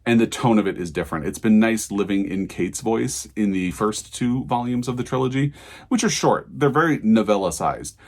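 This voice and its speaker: A man with a fairly low-pitched voice talks through a book review in a casual vlog style. He is thoughtful and enthusiastic, wandering through his points as he explains them. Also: expressive, moderate pace.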